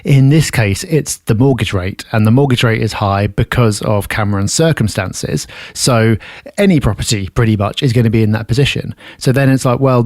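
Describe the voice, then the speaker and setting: A man's voice: mid-range voice, a little mellow, no room sound. British male co-host, dry close sound, casual reasoning. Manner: casual, thinking aloud. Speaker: podcast co-host. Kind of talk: podcast advice chat.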